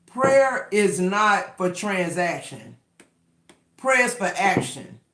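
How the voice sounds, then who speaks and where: 50s guy doing a tarot reading, real high voice, cussing and rambling, roomy echo. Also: big pitch swings, takes it slowly, bright, forceful tone. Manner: emphatic, profane, rambling with restarts. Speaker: tarot reader. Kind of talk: casual tarot reading to camera. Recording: echoey room.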